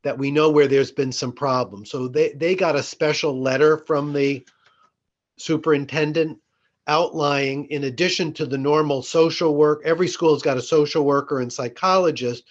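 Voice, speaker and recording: A man giving an informal report as a school board chair, explaining things in a halting way. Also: medium pitch. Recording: dull lo-fi meeting audio.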